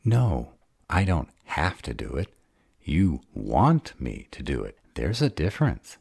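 Guy acting as a sarcastic vampire bad boy, super low slow drawl, audio roleplay. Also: huge pitch swings, creaky, a little mellow, husky, dry recording, clean studio sound, exaggerated voice. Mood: defiant. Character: sarcastic bad-boy male vampire, guarded but devoted.

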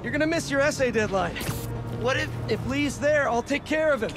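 An actor playing a young male superhero in a video game cutscene, earnest and quick. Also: very high-pitched. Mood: caring. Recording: game audio under loud music.

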